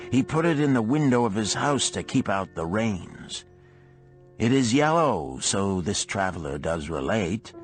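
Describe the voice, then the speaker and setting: A man's voice: fairly low pitch, voice pushed up. A man voicing a boisterous, blunt middle-aged camel trader in an audiobook parable, declaiming in archaic phrases.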